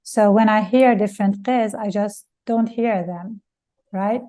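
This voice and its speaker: A woman whose first language is Persian asks a question at a lecture. Her voice is mellow and mid-pitched. She speaks in a thoughtful, slightly halting way. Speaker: audience questioner.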